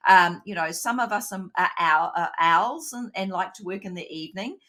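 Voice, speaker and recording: Kiwi woman, slightly nasal, animated co-host. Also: lowish voice, normal speed. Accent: New Zealand. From New Zealand. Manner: animated, frequent restarts, acts out quoted voices. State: slightly nasal from hay fever. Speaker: podcast co-host. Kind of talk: casual conversational chat.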